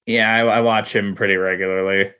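A man chatting casually about comics on a podcast, speaking in halting, tentative phrases. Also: low-pitched, level tone, pushed and bright, clear, resonant tone, muffled recording.

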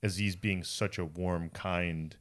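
Man co-host, low voice, easygoing and agreeing. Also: steady tone, slow, fairly bright tone. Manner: agreeing, supportive interjections. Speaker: pastor co-host. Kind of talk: casual conversation.

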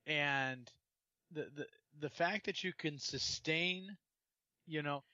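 A man in a casual sports podcast rants and rambles, stumbling and repeating words as he goes. His voice sits at an ordinary pitch. The sound is dry, close and slightly dull. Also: slow pace, sharp tone, dry recording. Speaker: podcast co-host.

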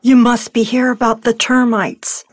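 An actress playing a polite, wistful elderly widow in an intimate audio drama, with a gentle, hesitant delivery. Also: female voice, fairly high pitch, level tone, a little slow, no echo, studio quality. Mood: polite, mildly fussy. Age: older.

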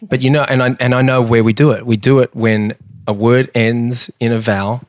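A man's voice: medium pitch, fairly even intonation, moderate pace, no room sound. Male host, rambling and jokey, close dry sound. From Australia. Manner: rambling, playful, laughs easily. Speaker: podcast host. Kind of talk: casual podcast chat.

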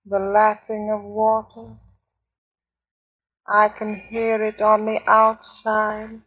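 A woman's voice: medium pitch, completely flat delivery, takes it slowly, breathy edge. An actress playing a haunted young woman bound to a vampire, solemn and dreamy in old-time radio drama style. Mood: trancelike. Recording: muffled old radio recording.

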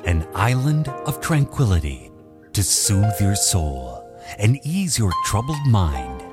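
A man announcing a show segment in a slow, theatrical, soothing manner. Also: lowish voice, sing-song, over loud music. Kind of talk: dramatic announcer voiceover.

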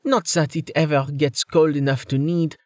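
A man voicing a chatty, composed male realtor giving a professional house-tour pitch in an audiobook. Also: steady tone, moderate pace, a little mellow, dry recording, clean studio sound, lightly acted. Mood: upbeat sales patter. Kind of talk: audiobook character dialogue.

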